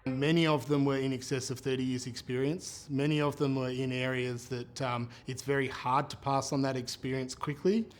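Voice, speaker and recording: A man's voice: medium pitch, little pitch movement, normal speed, few pauses. Plain-spoken Australian union man. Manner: plain-spoken, hesitant with fillers. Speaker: air traffic controller and union president. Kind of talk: interview answers.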